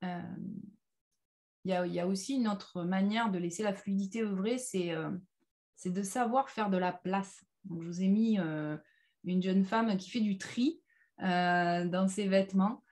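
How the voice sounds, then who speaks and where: A woman leading an informal online personal development workshop, speaking haltingly and leaning on key phrases with everyday examples. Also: medium pitch, darkish tone. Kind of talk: informal interactive online workshop talk with slides.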